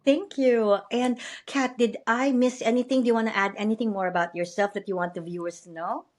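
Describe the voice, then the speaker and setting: A woman's voice: a little high, fairly even intonation, brisk, a little mellow. A woman podcast host with a Filipino accent, speaking upbeat and laughing often on a video call. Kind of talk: podcast interview.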